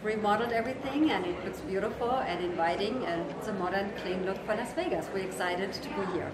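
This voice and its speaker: A woman's voice: medium pitch, fairly quick, very noisy. A woman in her forties from Germany with a German accent, speaking in an upbeat, promotional way as a wedding chapel partner in an interview. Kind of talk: on-camera interview. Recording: echoey room with loud crowd.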